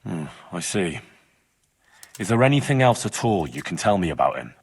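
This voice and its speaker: Game hero: young country lad, lowish voice, earnest, direct, questioning, no frills. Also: male voice, sing-song, normal speed, breathy edge, full character voice. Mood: probing. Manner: plain-spoken, questioning. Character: earnest young village lad investigating for his lord. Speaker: voice actor in a video game. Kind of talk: dramatic video game dialogue.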